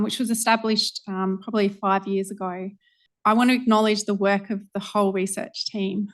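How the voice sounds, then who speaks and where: Earnest woman researcher giving a lecture. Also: mid-range voice. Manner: earnest, organized, frequent ums. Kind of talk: public lecture.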